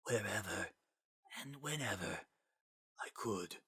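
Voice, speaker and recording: A man voicing a dying skeleton doctor in a story reading, gentle and solemn, with a very faint, breathy, halting whisper. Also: on the low side, expressive, heavily acted. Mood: faint, tender. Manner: slow, halting, solemn delivery. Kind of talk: dramatic fan-fiction reading.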